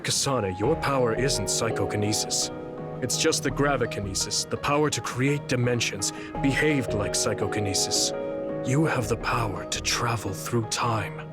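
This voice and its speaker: An actor playing a weary, blind old retired hero in a video game, speaking gravely and slowly measured, full of regret. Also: male voice, medium pitch, over loud music, natural voice. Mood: grave, remorseful. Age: older. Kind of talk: dramatic video game voice acting.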